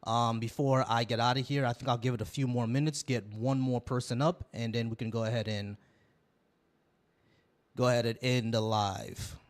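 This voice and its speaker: A man's voice: mid-range voice, fairly even intonation, moderate pace. A young American man from Florida hosting a livestream debate, firm and insistent, repeating phrases to keep the floor. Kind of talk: heated live debate.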